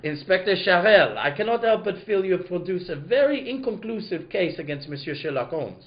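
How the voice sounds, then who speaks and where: A man acts out a prefect of police presiding with officious theatricality. The pitch sits high and swings widely laid on for radio comedy. The sound is a little dull. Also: lively intonation, a little fast. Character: French prefect of police presiding over a trial. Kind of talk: hammy live radio-play parody.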